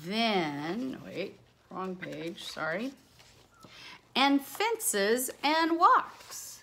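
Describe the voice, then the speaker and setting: Woman reading aloud slowly, very lively pitch. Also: creaky. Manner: expressive, dramatic emphasis, lingering on words. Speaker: read-aloud storyteller. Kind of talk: animated picture book read-aloud with casual asides.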